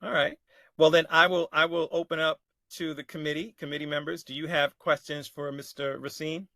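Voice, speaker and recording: Bright-voiced man chairing a meeting. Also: fairly high pitch, level tone, vocal fry, dry recording. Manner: polite, formal, addresses speakers as sir; halting, restarts sentences often. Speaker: committee chair. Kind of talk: chairing a public board meeting.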